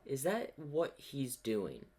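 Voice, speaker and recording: A man's voice: mid-range voice, huge pitch swings, takes it slowly, a little mellow, slightly rough. A man hosting a reaction video, musing aloud in casual commentary.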